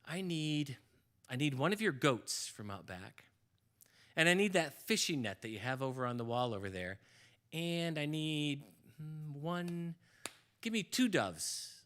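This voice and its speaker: A man voicing a calm, clever young woman who soothes her father in a hushed voice. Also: a little high, a little slow, pitched below the performer's own voice. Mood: soothing.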